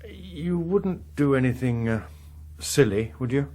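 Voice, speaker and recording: A suave senior politician in a political drama. His voice is husky, with lively shifts of pitch, and he speaks in a measured, formal way that gives little away. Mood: cool, probing. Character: suave, guarded veteran politician, a chief whip. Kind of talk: naturalistic political drama dialogue.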